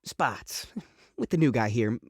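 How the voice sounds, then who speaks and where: A man playing a guarded, evasive saloon pianist and reformed gunslinger in a Western audio drama, casual and quick to get defensive. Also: medium pitch, animated, breathy edge, dry recording, studio quality. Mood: defensive, flustered. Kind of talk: audio drama character acting.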